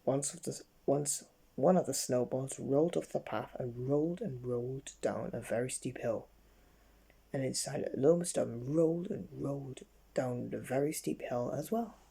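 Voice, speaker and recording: A reader narrating a children's bedtime story in a halting way, with slips. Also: medium pitch, vocal fry, warm tone, slightly rough.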